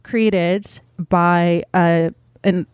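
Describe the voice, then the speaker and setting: A woman's voice: lowish voice, steady tone, drawn-out words, darkish tone, clear, resonant tone, dry recording. Chatty woman podcast host, dry close mic. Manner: chatty, thinks aloud with fillers and laughs. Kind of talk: casual explanatory podcast chat.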